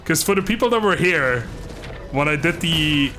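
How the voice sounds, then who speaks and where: A man's voice: on the high side, lively intonation, normal speed, pushed and bright, poor audio. A man giving casual, rambling, self-deprecating commentary as he livestreams a video game. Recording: music playing behind the voice.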